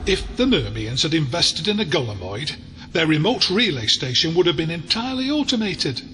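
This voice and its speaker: A man's voice: on the high side, big pitch swings, normal speed, pushed and bright, slight background noise. A man playing an arch, wordy, mock-grand narrator of a sci-fi audio tale, in a long reverb. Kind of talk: dramatic scripted narration.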